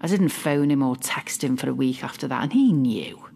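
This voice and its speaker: A woman playing a possessive middle-aged mother in a confiding dramatic monologue, smug, mock-innocent and quietly malicious. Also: extremely low pitch, animated, moderate pace, vocal fry, noisy background, full character voice. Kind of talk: dramatic monologue, confiding fiction podcast narration.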